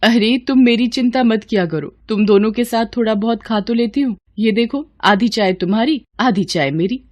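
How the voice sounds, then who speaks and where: A woman playing a gentle, self-sacrificing poor wife and mother in a cartoon story. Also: fairly high pitch, moderate pace, lightly acted. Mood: gentle, reassuring. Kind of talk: Hindi cartoon story voice acting.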